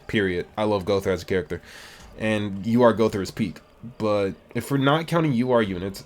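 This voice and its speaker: A man in his twenties casually answering viewer questions about a mobile game, rambling and slangy. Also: lowish voice. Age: 20s. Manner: laid-back, rambling, slangy. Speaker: gaming YouTuber. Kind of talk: casual Q&A chat to camera.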